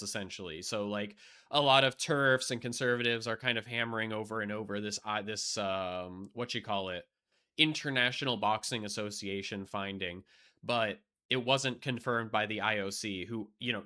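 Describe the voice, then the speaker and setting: A man's voice: medium pitch, pushed and bright. A young man chatting casually on a live stream, rambling with lots of fillers and restarts, blunt and profane, and losing his voice.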